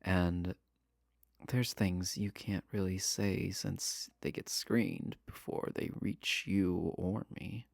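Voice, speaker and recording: A man acts out a charming villain behind bars for an intimate audio roleplay. His voice is lowish and gravelly with plenty of fry, and he draws his words out slowly. He comes across sly, coy and flirtatious. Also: steady tone, no echo, studio quality, full character voice. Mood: sly, soft. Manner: coy, flirtatious, drawn-out casual delivery. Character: charming, teasing male villain prisoner, adorkable and sly.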